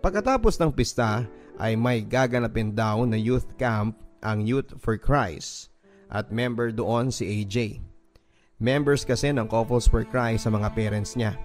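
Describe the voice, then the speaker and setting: A man's voice: medium pitch, measured pace. A man with a Filipino accent reading a scripted first-person story in a dramatic radio storytelling style. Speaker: radio host narrator. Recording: music under the voice. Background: background music.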